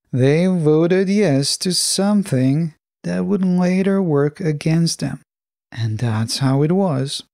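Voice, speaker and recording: A man hosting a solo podcast, reading scripted narration in a measured, deliberate way. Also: on the high side, gravelly, a little mellow, no echo, clean studio sound. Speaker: podcast host.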